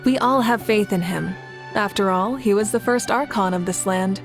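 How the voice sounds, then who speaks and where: A woman's voice: mid-range voice, normal speed, music in the background, lightly acted. An actress playing a composed, confident young female leader in a video game, poised and wryly amused. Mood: assured. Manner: poised, wry.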